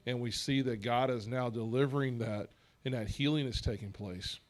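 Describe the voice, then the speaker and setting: A man leading a church Bible discussion in an earnest, measured way, asking rhetorical questions. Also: mid-range voice, steady tone.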